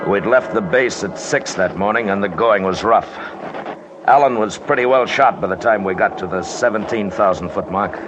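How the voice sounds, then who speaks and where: Deep-voiced expedition leader played by an actor, noisy recording. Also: male voice, muffled recording, lightly acted. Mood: grave narration. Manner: measured, matter-of-fact, commanding. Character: calm, decisive male leader of a mountain expedition. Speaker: narrator and lead actor. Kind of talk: old-time radio adventure drama acting and narration. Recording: noisy old radio broadcast recording.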